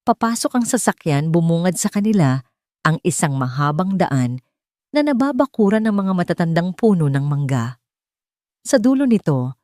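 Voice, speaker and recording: A woman's voice: lowish voice, a little mellow, no echo, studio quality. A woman with a Filipino accent reading a scripted dramatic story as its narrator.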